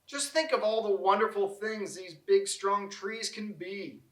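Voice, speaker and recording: A man's voice: high voice, measured pace, a bit bright, reverberant, voice pushed up, poor audio. A man voicing a small, eager, yearning young tree in a storybook, with emotional exclamations and sighs. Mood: dreamy, wondering.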